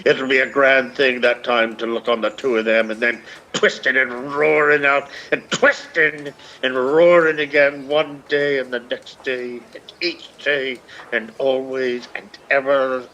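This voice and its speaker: A man's voice: medium pitch, flat delivery, a little slow, few pauses, bright, forceful tone, low-quality recording. An actor playing a bitter old blind Irish beggar man in a radio play, declaiming in lilting dialect. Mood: bitter, cursing. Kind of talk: theatrical radio play acting.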